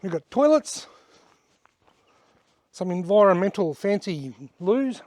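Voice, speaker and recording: Australian bloke, slightly croaky, higher-pitched, casual outdoor walk-and-talk tour, dry close sound. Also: male voice, animated, slow, darkish tone, no echo. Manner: chatty and plain-spoken, pointing things out as he goes. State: slightly hoarse from a bug. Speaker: outdoor vlogger giving a tour. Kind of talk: casual walking-tour narration. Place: outdoors at wetlands by a creek and car park.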